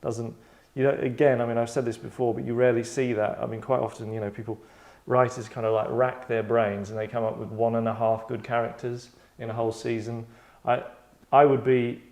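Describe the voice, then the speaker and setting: A man's voice: mid-range voice, level tone, a little fast, few pauses, a little mellow. A man in casual host mode, talking in a mid-range voice. He rambles and digresses with a tongue-in-cheek manner as he sets up a script reading. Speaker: host and writer running a table read.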